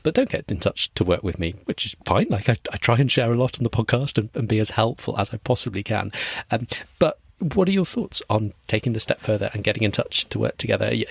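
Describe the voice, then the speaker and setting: A man's voice: lowish voice, creaky, slightly rough, no room sound, studio quality. A man hosting a study podcast, encouraging and repeating words as he starts his phrases. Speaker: podcast host and study coach. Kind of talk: podcast interview.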